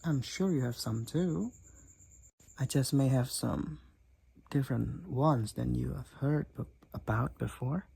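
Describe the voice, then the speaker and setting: Male actor as candid demon college roommate for roleplay audio; raspy, slow-paced, teasing and blunt, speaking straight to the listener. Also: medium pitch, warm tone, exaggerated voice. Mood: apologetic, awkward.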